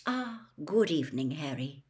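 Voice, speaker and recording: A woman voicing a placid, courteous old male wizard headmaster in a storybook, with measured, businesslike delivery. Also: deep voice, very animated, a little mellow. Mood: calm, measured. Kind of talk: storybook character reading.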